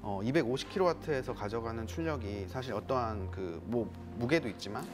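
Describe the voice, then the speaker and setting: A man's voice: mid-range voice, brisk, over music. A man working as an engineer, giving polite, formal, technical explanations in an interview. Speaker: car development engineer.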